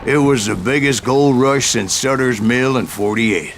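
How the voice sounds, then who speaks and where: A man's voice: medium pitch, background noise, close to an everyday voice. Man playing an old Western bounty hunter storyteller. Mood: grim, proud. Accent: American Western. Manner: folksy, unhurried storyteller. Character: old bounty hunter spinning tales of his gunslinging past. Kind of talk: scripted in-character storytelling narration.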